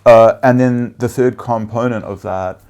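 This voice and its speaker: A man whose first language is Afrikaans, giving measured interview answers as a venture investor, with frequent fillers and listed points. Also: mid-range voice, steady tone, a little mellow.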